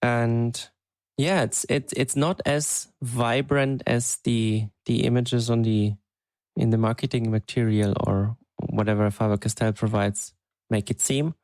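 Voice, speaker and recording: A man co-hosting a podcast, chatting casually and thinking aloud in an unhurried, hesitant way. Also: mid-range voice, fairly even intonation, a little mellow, smooth, no echo. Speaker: podcast co-host. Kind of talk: casual chat.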